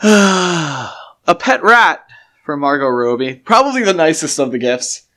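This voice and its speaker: A man co-hosting a podcast in a casual, halting conversational way, often interrupting his own sentences. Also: on the high side, sing-song, pushed and bright. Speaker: podcast co-host. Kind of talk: casual film discussion chat.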